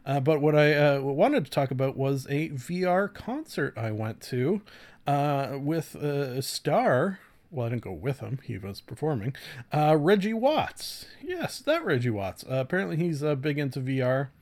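A man in his thirties hosting a casual solo podcast, talking in a halting, rambling way with offhand swearing and frequent self-corrections. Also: on the high side, very animated, darkish tone. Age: 30s. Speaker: podcast host.

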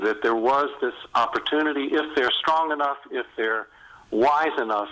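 Male interview guest, novelist, medium pitch with a bright edge, reflective, explanatory delivery. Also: low-quality recording. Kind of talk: conversational interview.

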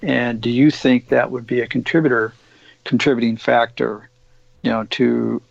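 Gravelly guy co-hosting, rambles a lot with ums, dull dry sound. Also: mid-range voice, level tone, normal speed, no echo. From Oregon. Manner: rambling, many fillers and restarts. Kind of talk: casual discussion.

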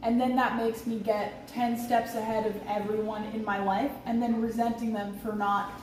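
A woman's voice: fairly high pitch, flat delivery, moderate pace. A woman guest speaking candidly and bluntly, swearing freely as she tells her story. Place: outdoors on vacation, lawn equipment nearby. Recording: distant, echoey, noisy outdoor livestream audio.

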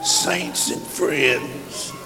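A hoarse man a sermon in a chanting style that builds into shouts. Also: medium pitch, huge pitch swings, extremely slow, long reverb tail, over music. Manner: chanted preaching rising to shouts. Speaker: preacher.

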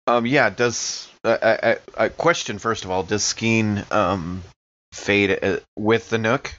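Low-voiced man, dull lo-fi sound. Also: slow, fairly bright tone. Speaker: player. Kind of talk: casual tabletop roleplay.

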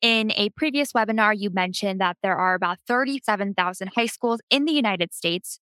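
A woman's voice: mid-range voice, fairly quick, pushed and bright, no room sound. Upbeat woman hosting a podcast. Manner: upbeat, polished. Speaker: podcast host. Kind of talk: scripted podcast intro and interview.

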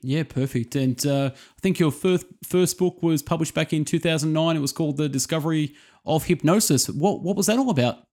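A man's voice: a little high, a little fast, a little mellow. A man hosting an interview show, introducing his guest and asking questions. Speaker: podcast host, interviewer.

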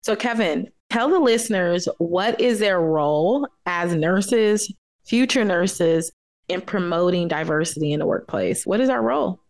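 Firm, measured woman podcast host. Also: fairly low pitch, lively intonation. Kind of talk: casual podcast debate.

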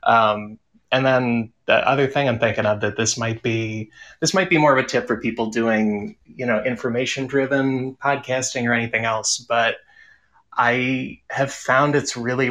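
A man's voice: medium pitch, fairly even intonation, unhurried, fairly bright tone. A man speaking casually and earnestly as a podcaster in a panel discussion.

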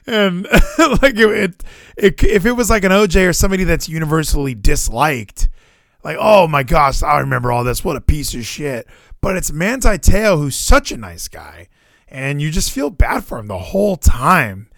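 A man's voice: on the high side, normal speed, creaky, dry recording, studio quality. An American man in casual sports chat, rambling and opinionated, swearing freely as he goes. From Hawaii, United States. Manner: rambling, animated, profane, opinionated.

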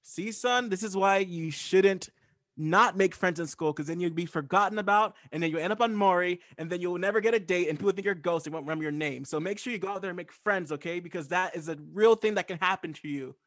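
A man playing an earnest, fretting parent who lectures a child in hurried run-on sentences, in improvised comedy. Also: high voice, fairly bright tone, no room sound, studio quality. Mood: earnest, nagging.

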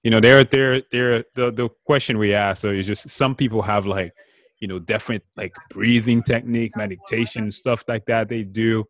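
A man's voice: on the low side, steady tone, moderate pace, no room sound. A man hosting a casual interview, friendly and loose, calling his guest man and bro.

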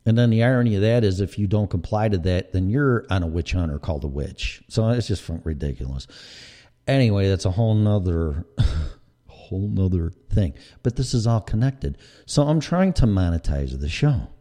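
A man's voice: sits low, expressive, moderate pace, mellow. Blunt, profane Chicago-accented man. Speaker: podcast host. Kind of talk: casual rant.

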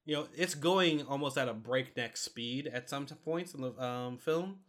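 A man co-hosting a podcast, giving a casual review and explaining things in a hesitant way, pausing mid-thought. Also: medium pitch, a bit bright. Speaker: podcast co-host reviewing a film.

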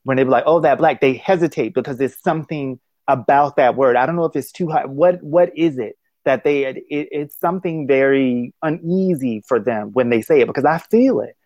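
A man's voice: medium pitch, moderate pace, hardly any pauses, darkish tone, no echo, highs cut off. Rambling, thoughtful man on a dry call. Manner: thoughtful, rambling, many restarts and affirmations. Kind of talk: casual conversation over a call. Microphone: phone call.

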